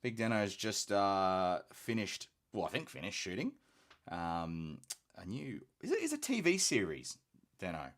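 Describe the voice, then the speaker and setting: A man's voice: low voice, measured pace. A man from Australia with a rather posh-sounding accent, chatting casually while he paints on a live hobby stream. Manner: relaxed, chatty, self-deprecating.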